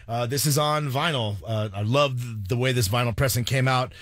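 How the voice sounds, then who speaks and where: An American man hosting a radio show, talking off the cuff in an earnest, halting way. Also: mid-range voice, fairly even intonation, a bit bright, dry recording, clean studio sound. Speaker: radio host. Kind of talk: unscripted radio talk, tribute. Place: radio studio.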